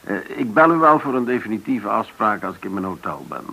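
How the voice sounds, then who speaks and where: A middle-aged businessman in a radio drama. His voice sits low, with a gravelly creak, and has a bright edge. He speaks politely and with understatement, sometimes hesitating. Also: big pitch swings, brisk, lo-fi sound, heavily acted. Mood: formal, polite. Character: Dirk Norman, courteous male businessman living off share sale. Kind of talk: naturalistic radio drama acting.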